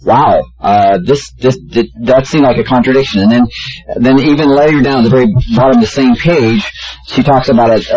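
Man, medium pitch, hesitant question from audience, lo-fi sound. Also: darkish tone, slight background noise. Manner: halting, hesitant, many restarts. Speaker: audience member asking a question.

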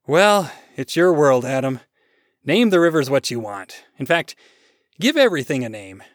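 Guy doing a big showy god for a story, grandiose, touchy, pitch all over the place. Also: very animated, moderate pace, no room sound, clean studio sound, natural voice. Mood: wounded, exasperated. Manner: grandiose, showman-like. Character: mighty bearded God, boastful, theatrical, easily wounded. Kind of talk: short story read aloud.

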